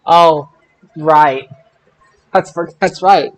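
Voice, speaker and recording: A man hosting a casual panel podcast about wrestling results, speaking in an emphatic, excitable way. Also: fairly high pitch, drawn-out words. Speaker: podcast host.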